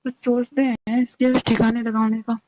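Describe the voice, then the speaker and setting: A woman's voice: a little high, flat delivery, moderate pace, a little mellow, dry recording. A woman talking bluntly on a private phone call. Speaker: caller in leaked phone recording. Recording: dull lo-fi phone audio.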